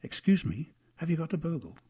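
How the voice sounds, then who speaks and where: A man's voice: fairly high pitch, very animated, brisk, warm tone, slightly rough, pitched above the performer's own voice, heavily acted. A man voicing a naive, earnest young boy in a story, with a very polite manner. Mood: polite, hopeful.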